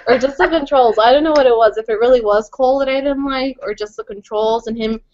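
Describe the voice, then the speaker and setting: A woman's voice: sits high, fast talker, darkish tone. Rambling woman, muffled podcast audio. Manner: rambling, self-deprecating, hedging with "like". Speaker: podcast co-host. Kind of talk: casual gaming chat. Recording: slightly muffled home recording.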